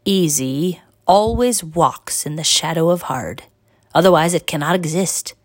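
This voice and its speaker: A woman voicing a patient, encouraging male master potter who teaches in a measured, instructive way. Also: low voice, no echo. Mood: wise.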